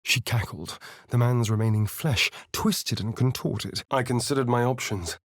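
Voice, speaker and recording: A man's voice: medium pitch, unhurried, dry recording, studio quality, natural voice. An actor playing a sly, vain, sardonic male vampire rogue in a video game, with an arch, drawling, theatrical delivery. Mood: measured. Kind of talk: theatrical video game character lines and narration.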